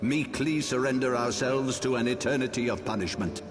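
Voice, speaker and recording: A man's voice: medium pitch, moderate pace, lightly acted. An actor playing a proud, stern old archbishop and grave father in a fantasy game, speaking in solemn, oratorical, archaic phrases. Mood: impassioned, defiant. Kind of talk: dramatic fantasy game voice acting. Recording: long hall reverb, music underneath.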